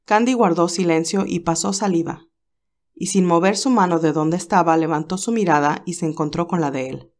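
A woman reading a romance novel aloud in a calm, even storytelling voice. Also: on the low side, moderate pace. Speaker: narrator reading a fan novel. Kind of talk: audiobook narration.

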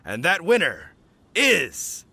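A man's voice: a little high, wildly swooping pitch, slow, creaky, sharp tone, a little hiss or hum, exaggerated voice. An actor playing an earnest village man in a video game, speaking with grand deliberation. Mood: solemn, announcing. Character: earnest, impressed village man judging a feast.

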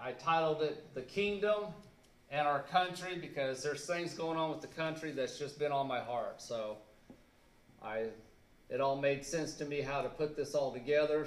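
A man in his thirties preaching a sermon in a church on a handheld mic, earnest and halting with fillers, leaning hard on key words. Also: mid-range voice, a little hiss or hum. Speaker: pastor. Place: church sanctuary, indoors. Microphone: handheld mic, filmed by a camera across the room. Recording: echoey church room.

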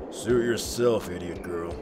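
A man playing a gruff, dismissive middle-aged businessman, curt and scornful, in an animated comic dub. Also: low voice. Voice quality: gruff, gravelly. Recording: music behind the voice.

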